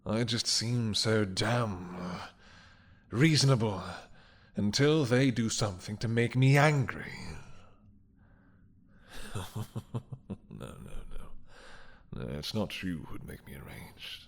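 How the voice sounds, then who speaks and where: A man playing an ancient, imprisoned mad dragon king in an intimate audio roleplay, seductive and menacing, with a husky, breathy voice and a teasing, hesitant drawl. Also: on the low side, animated, full character voice. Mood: dangerous, restrained. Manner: slow, teasing, hesitant drawl with murmured asides.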